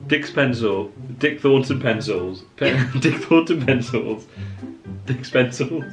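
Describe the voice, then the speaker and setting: A man's voice: medium pitch, lively intonation, slow pace, soft background music. A man reading stories dramatically and bantering in a playful, amused way, often laughing. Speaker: vlogger, co-reader with his sister.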